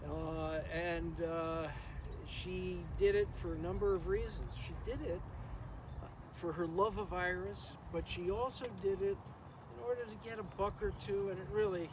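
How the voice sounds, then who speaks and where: Older guy w high voice doing a halting tribute speech outside, lots of background noise. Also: steady tone. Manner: halting, rambling delivery with frequent pauses. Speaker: speaker giving a memorial tribute. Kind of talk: informal reminiscing speech to a gathering. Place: outdoors in a garden. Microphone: distant camera microphone, no working PA. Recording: noisy outdoor recording, distant microphone.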